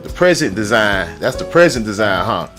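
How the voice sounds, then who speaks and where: Loud excitable baritone man over music. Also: moderate pace. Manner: excitable, joking, slangy and profane. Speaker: streamer host. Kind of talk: loud ranting commentary. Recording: music playing underneath.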